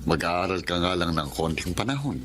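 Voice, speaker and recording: A man's voice: sits low, expressive, normal speed, fairly bright tone, slight background noise. A man playing an affectionate, practical grown son and older brother in a Tagalog radio drama, easygoing and respectful. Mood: affectionate, persuasive.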